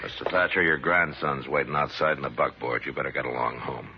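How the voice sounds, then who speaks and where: Stern frontier lawman, bass register, terse authority, noisy vintage radio drama. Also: fairly even intonation, fairly quick. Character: stern, watchful U.S. Marshal of the frontier West. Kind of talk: old-time radio western drama acting. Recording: muffled, noisy old radio recording.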